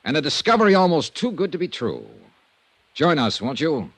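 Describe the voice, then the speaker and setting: A lively, swooping male American private investigator from an old radio serial. He is sceptical and wry, with clipped phrasing, recorded close and dry in a vintage studio. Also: fairly high pitch, normal speed, no room sound. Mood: narrating, intrigued. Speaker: lead actor and narrator of a radio detective serial.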